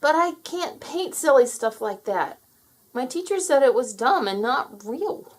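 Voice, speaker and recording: A woman's voice: high-pitched, very animated, measured pace, pitched above the performer's own voice, heavily acted. A woman voicing an earnest, unsure young boy in a children's storybook, plaintive and wide-eyed. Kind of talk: children's storybook character read aloud.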